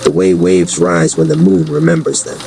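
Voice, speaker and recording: A man with a very low voice delivers scripted spoken-word narration for a dark fantasy story. His delivery is solemn and dramatic. The recording carries clear noise. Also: big pitch swings, normal speed.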